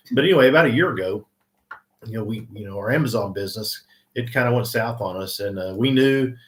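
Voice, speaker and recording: A man in his sixties with an Oklahoma accent, co-hosting a live online session in a folksy, rambling way full of "you know"s and throat clears. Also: mid-range voice, very animated, fairly quick. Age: 60s. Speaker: co-host of a live online training. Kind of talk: casual live-stream chat.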